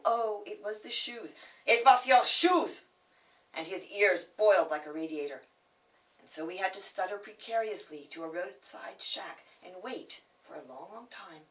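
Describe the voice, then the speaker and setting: A woman's voice: fairly low pitch, fairly bright tone. Theatrical woman poet, distant from the mic. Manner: dramatic, playful, savouring words. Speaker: poet reading own work. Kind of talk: theatrical poetry recital.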